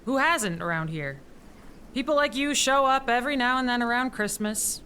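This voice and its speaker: A gruff mountain woodswoman played by an actress taunts dryly and dismissively in a fairly high voice. It is audio drama acting with faint noise behind her. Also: animated, normal speed, pushed and bright.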